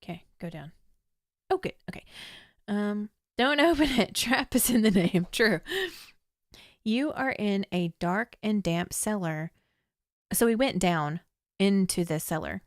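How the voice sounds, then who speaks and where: A young woman with a slightly hoarse voice streams a text adventure, reading room descriptions aloud in a measured, storytelling way between chatty asides. Her pitch sits mid-range for a woman, and the close mic sounds dry, with no room sound. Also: animated, no echo. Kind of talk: casual game commentary, reading game text aloud.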